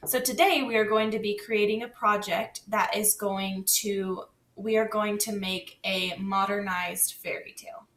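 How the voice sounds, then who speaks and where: A woman's voice: medium pitch, fairly even intonation, a little slow, hardly any pauses, fairly bright tone. A woman teacher leading a lesson patiently, guiding with questions. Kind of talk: scripted classroom lesson. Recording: echoey room, distant mic.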